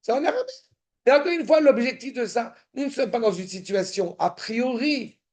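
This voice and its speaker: Male class teacher, high gravelly voice, stop-start delivery that checks in with the room. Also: expressive, darkish tone. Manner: halting, repeats words, checks in with listeners; turns insistent and indignant. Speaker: Torah teacher. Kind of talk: lecture in a study class.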